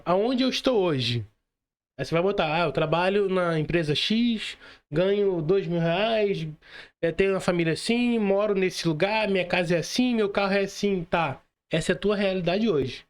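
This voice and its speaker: A young Brazilian man in motivational podcast chat, emphatic and slangy, speaking straight to the listener. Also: sits high.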